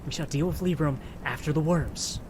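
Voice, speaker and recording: A man's voice: fairly high pitch, normal speed, noisy background, lightly acted. A man playing a learned, watchful fey adventurer who speaks in grave, terse, formal commands in a fantasy horror audio drama. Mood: urgent command.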